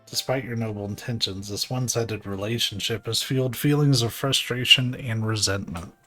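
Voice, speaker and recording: A man voicing a courteous, dignified ruler in a video game, with formal, archaic, measured diction. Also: fairly low pitch, sharp tone, faint music behind. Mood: formal, earnest.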